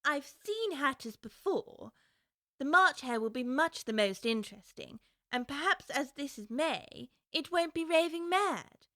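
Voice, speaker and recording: A woman's voice: high-pitched, lively intonation, a little slow, a bit bright, no room sound, studio quality, exaggerated voice. An actress playing a curious, polite young girl who is well spoken and wonders aloud, for a full-cast audiobook.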